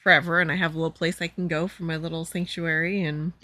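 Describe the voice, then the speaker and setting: A woman co-hosting a casual podcast, answering briefly in an easygoing, unhurried way. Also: low voice, flat delivery, sharp tone, no echo. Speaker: podcast co-host.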